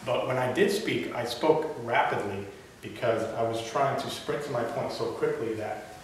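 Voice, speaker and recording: A man's voice: mid-range voice, normal speed. Young man with a slight stutter, echoey room. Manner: occasional stutters and restarts. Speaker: club speaker. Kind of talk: prepared public speech. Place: meeting room. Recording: echoey room from far off, hiss.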